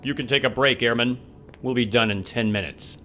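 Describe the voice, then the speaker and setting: An actor playing a ruthless, commanding government agent in a video game cutscene. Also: male voice, medium pitch, a little fast, pushed and bright, slight background noise, close to an everyday voice. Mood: brisk, commanding.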